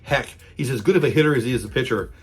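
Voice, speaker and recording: A man with a hoarse voice from a cold, hosting a casual sports fan vlog and talking chatty and repetitive. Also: mid-range voice, rapid-fire. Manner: chatty, repeats himself, fan talk. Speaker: channel host.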